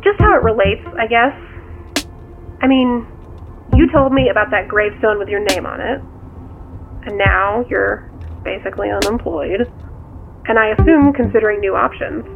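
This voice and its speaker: A woman's voice: mid-range voice, moderate pace, gravelly, long pauses. A woman acting in an audio drama as a level-headed, inquisitive confidante, talking conversationally through a phone filter. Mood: friendly, probing. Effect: phone call filter. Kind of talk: naturalistic audio drama dialogue. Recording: tinny phone line, music bed.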